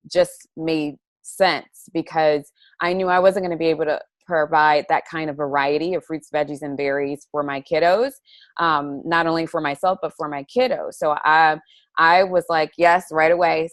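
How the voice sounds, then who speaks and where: A woman's voice: deep voice, no echo. A woman in her forties sharing an earnest, chatty personal testimonial over a webcam video call. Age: 40s. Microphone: laptop webcam on a video call.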